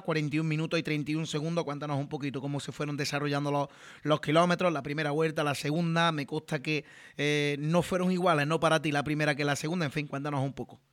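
A man's voice: a little high, a bit bright. A man hosting a local radio sports show, friendly and conversational, often tagging questions onto his sentences.

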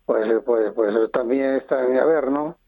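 An older man answers radio interview questions, pausing often and restarting his sentences. He is at an ordinary pitch. His voice comes through a thin, phone-like line with no room sound. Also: vocal fry, no echo. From Spain (Madrid). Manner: halting, with many pauses and restarts. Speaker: interview guest, businessman.

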